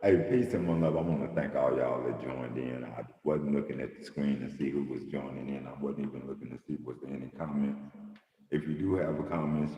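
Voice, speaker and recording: Very deep gravelly man preaching, echoey room. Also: warm tone, dull audio. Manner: preachy, builds to impassioned emphasis. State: losing his voice. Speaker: host of a livestream talk. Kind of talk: casual spiritual conversation.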